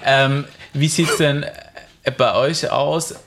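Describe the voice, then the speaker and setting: A man's voice: fairly high pitch, steady tone, slow pace, fairly bright tone, a little hiss or hum. A young man hosting a radio panel show, asking questions and keeping the talk on schedule, with many small fillers. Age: young adult. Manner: chatty moderator with frequent fillers.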